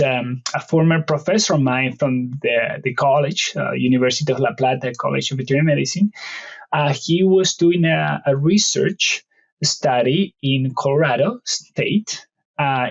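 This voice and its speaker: A man's voice: on the high side, gravelly. A man from Argentina in his late thirties, a native Spanish speaker with an Argentine accent, answering interview questions in a hesitant, conversational way with many fillers and restarts. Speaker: veterinary research professor, podcast guest. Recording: slightly dull lo-fi audio.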